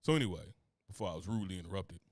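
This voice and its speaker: A man's voice: low voice, animated, very fast, dry recording. Laid-back, profane man rambling on a podcast. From Newark, New Jersey. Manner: loose, profane, rambling with laughs. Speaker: podcast co-host. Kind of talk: casual chat.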